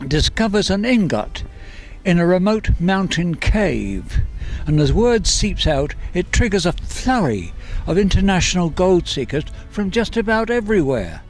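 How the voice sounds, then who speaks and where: A male author reads in a slightly raised register, with a measured and deliberate delivery. His narration is scripted and story-like. Soft music plays behind his voice. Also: high-pitched. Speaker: author reading from own novel. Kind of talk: scripted narration and book reading. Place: on location at a coastal narrows.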